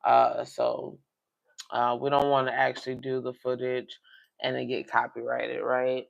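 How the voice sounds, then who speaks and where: Man, mid-pitched, a touch raspy today, flat monotone delivery, rambling livestream host talking straight to viewers, dull lo-fi audio. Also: measured pace. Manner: rambling, talks directly to viewers. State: slightly raspy voice. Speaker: coin collecting livestream host. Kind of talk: casual livestream chat.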